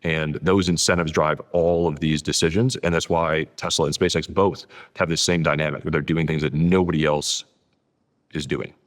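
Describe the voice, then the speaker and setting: A man's voice: rumbling low, steady tone, moderate pace. A man talking conversationally as an interview guest, explaining things and repeating words for emphasis. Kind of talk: conversational long-form interview talk.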